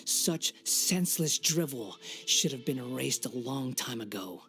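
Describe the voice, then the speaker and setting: Arrogant, mocking would-be god, music behind. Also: male voice, medium pitch, animated, measured pace, pushed and bright. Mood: scornful, bitter. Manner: condescending, theatrical, taunting. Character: arrogant, mocking young man with godlike ambitions. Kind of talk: English-dubbed anime game voice acting. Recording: background music under the voice.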